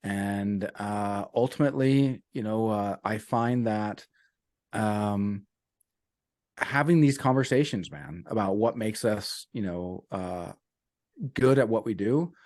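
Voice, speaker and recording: Low-voiced earnest male coach, dry sound. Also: takes it slowly, a little mellow, dry recording. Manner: earnest, repeats key sayings, uses "man" and "you know". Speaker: business and life coach guest. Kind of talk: casual interview talk.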